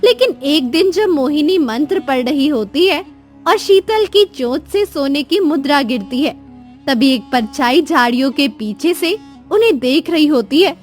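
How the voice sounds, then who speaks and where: A woman's voice: high-pitched, expressive, unhurried, soft background music. A woman giving scripted storybook narration as the narrator of a cartoon tale.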